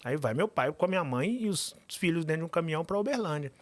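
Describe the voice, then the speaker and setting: A man, a podcast guest telling his life story with animated, emphatic repetition. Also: on the high side, fast talker, dry recording. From Minas Gerais, Brazil.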